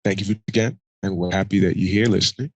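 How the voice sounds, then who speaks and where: A man's voice: sits low, fairly even intonation, fast, dry recording. A man co-hosting a podcast in casual chat, rambling, laughing often and a little self-conscious. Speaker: podcast co-host.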